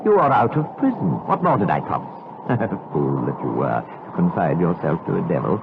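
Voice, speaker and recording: An actor playing a malevolent, commanding demon tempter in a gothic audio drama, with an imperious and taunting manner. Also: male voice, low-pitched, big pitch swings, moderate pace, vocal fry, noisy background, full character voice. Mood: mocking, gloating. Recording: band-limited lo-fi audio under loud music.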